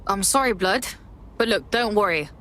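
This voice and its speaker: A woman's voice: mid-range voice, animated, moderate pace, fairly bright tone, natural voice. An actress playing a streetwise female resistance recruiter who talks casual and slangy. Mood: reassuring.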